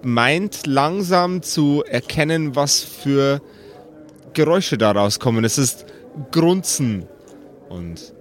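Slow-paced, higher-voiced male game master. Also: expressive. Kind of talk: improvised tabletop game narration.

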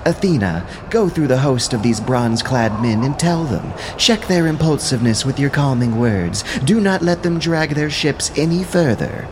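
A man's voice: medium pitch, lively intonation, moderate pace, few pauses, noisy background, pitched above the performer's own voice, natural voice. A man voicing an indignant, imperious goddess queen with declamatory, commanding speech in an epic audio drama.

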